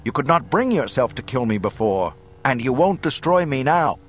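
An earnest young hero performed by a male audiobook reader, pitched up and very animated. He speaks with impassioned emphasis, defiant yet sincere, as a son standing his ground. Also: normal speed, natural voice. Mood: pleading. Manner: impassioned, emphatic, raised-voice delivery. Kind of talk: dramatic audiobook character voice.

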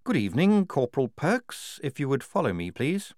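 A man's voice: medium pitch, big pitch swings, normal speed, darkish tone, no room sound, studio quality, pitched above the performer's own voice. A man voicing a young woman sergeant in a storybook, courteous and self-assured, with a polite, composed manner.

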